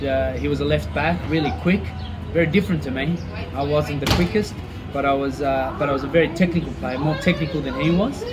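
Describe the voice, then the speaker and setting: A man, a football coach answering interview questions in an easygoing, chatty way. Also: a little high. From Chile. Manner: easygoing, chatty, loose and friendly. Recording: loud traffic noise behind. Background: passing vehicles.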